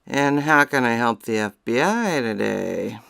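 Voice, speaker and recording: A blunt female police detective, acted by a woman speaks with wry, guarded brusqueness. The voice is crisp and very lively in pitch, recorded dry for radio drama. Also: measured pace, dry recording, exaggerated voice. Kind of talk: scripted radio drama dialogue.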